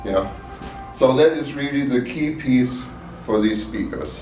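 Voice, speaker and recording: A man giving a measured technical explanation in an interview, checking that he is understood. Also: medium pitch, mellow. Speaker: loudspeaker designer. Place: exhibit room at an audio show. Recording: echoey room, distant mic, music behind.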